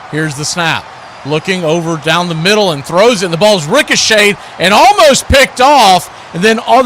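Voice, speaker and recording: High, bright-voiced male play-by-play commentator. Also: slight background noise. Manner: excitable, calling action as it happens. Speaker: play-by-play host. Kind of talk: live football play-by-play commentary.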